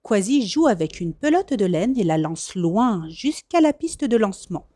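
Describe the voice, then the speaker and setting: A woman reading a children's storybook aloud as expressive scripted narration. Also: medium pitch, normal speed, no room sound, clean studio sound. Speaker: storybook reader.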